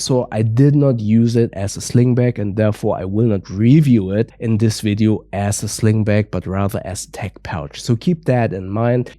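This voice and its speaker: A man's voice: fairly low pitch, mellow, no room sound, clean studio sound. A man with a stuffy nose from a cold, reviewing gear in a careful, methodical way with frequent small hedges. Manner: careful, methodical, hedging with "a little bit". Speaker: product reviewer.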